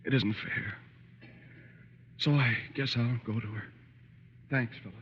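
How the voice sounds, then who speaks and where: An actor playing a despairing young father in an old radio drama, halting and subdued. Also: medium pitch, close to an everyday voice. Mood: defeated, grateful. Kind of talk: 1930s-40s radio drama acting. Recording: muffled, noisy old radio recording.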